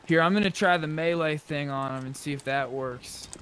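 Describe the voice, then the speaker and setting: Guy with a kinda high voice chatting casually while gaming, bit of noise behind him. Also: normal speed, fairly bright tone. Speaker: player in an online zombie survival roleplay game. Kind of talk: casual in-game chat.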